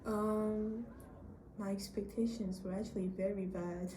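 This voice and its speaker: A woman's voice: mid-range voice, level tone, measured pace, roomy sound, noisy background. A young woman from Gabon in her twenties, a master's student answering interview questions in an upbeat, hesitant way.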